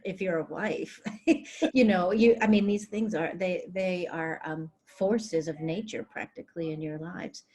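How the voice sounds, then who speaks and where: Woman author on a video call, low-ish voice, lots of ums and restarts, greek was her first language. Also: darkish tone. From Greece. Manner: hesitant, many fillers and restarts. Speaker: author being interviewed. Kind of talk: conversational interview.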